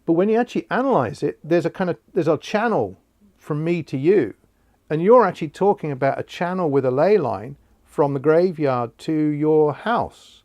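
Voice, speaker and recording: A man interviewing over an online call, explaining in a conversational way with halting restarts. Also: fairly high pitch, expressive, darkish tone, no room sound, studio quality.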